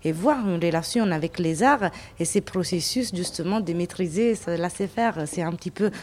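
A woman from Italy with an Italian accent, explaining in a panel discussion in a halting way, searching for words. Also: fairly low pitch, darkish tone. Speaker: anthropologist, panel guest.

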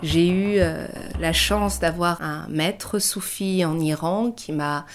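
A woman in her early thirties giving a reflective personal account, pausing often as she searches for her words. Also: on the low side, music in the background. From France. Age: early 30s. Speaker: dancer and choreographic artist. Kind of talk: reflective personal interview narration.

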